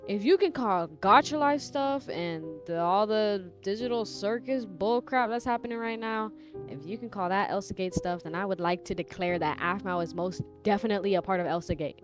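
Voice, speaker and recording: A woman's voice: medium pitch, normal speed. A woman giving casual video commentary in an informal, opinionated way. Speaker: YouTube commentator. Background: music behind the voice.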